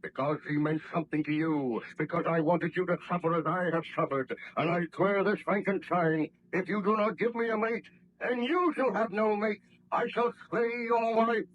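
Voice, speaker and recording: An actor playing a lonely, vengeful monster with grand, archaic speech in 1930s radio horror style. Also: male voice, sits high, steady tone, moderate pace. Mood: menacing. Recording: dull, noisy old radio recording.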